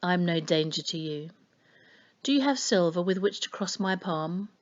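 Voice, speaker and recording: A woman voicing a gentle, solemn fortune-teller in a storybook, murmuring slowly and knowingly. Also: lowish voice, lively intonation, dull audio, close to an everyday voice. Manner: gentle, murmuring, slow and portentous. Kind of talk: children's storybook reading.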